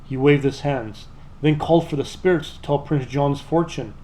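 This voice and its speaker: Guy reading a kids bedtime story, kinda high voice, expressive storybook narration. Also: normal speed.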